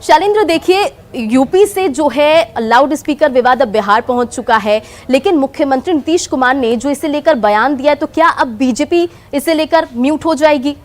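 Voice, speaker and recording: A woman's voice: sits high, expressive, normal speed, hardly any pauses. A woman news anchor reading scripted explainer segments in a presenter's register. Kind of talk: scripted news narration with live anchor hand-off.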